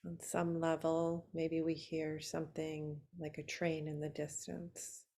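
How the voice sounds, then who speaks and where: A woman teaching yoga nidra, guiding a relaxation meditation in a soothing, unhurried way with long pauses between short phrases. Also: low voice, completely flat delivery, dark tone.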